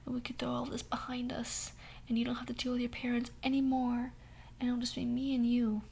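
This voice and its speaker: A young woman with a hoarse voice telling a sassy, dramatic story in a soft whisper. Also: a little high, monotone, fairly quick, slight background noise. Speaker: ASMR storyteller. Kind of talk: whispered ASMR story time.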